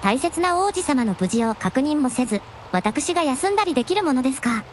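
A gentle young dragon princess with a high voice, played by a woman in a radio drama. She speaks in refined, devoted, very courteous phrases. Some noise sits behind the voice. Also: sing-song, fairly quick. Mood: tender, weary. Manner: refined, deferential, ladylike honorific speech. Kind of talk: Japanese radio drama acting.

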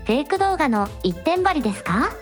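女性が演じる高い声の丁寧な若い王女. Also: female voice, sits high, very animated, a little fast, faint music behind. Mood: concerned. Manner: very polite, formal honorific speech. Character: polite, supportive young princess hosting a livestream. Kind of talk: radio drama dialogue.

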